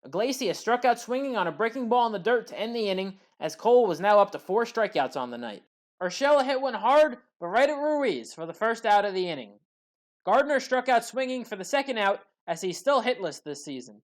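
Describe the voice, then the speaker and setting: Woman doing sports highlight commentary, kinda high voice, reads each play out measured and matter of fact. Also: big pitch swings. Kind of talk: scripted baseball play-by-play recap narration.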